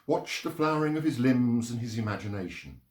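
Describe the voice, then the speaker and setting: A man reading poetry and short stories aloud in a measured, expressive storytelling delivery. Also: mid-range voice. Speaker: reader of poems and short stories. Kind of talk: literary reading aloud, performed narration. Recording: roomy, echoing sound.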